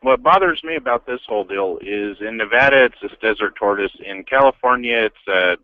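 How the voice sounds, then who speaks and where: A man's voice: fairly low pitch, brisk, no echo. Man calling in on a thin phone line. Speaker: radio show caller. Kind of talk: casual phone conversation. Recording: narrow, dull phone line.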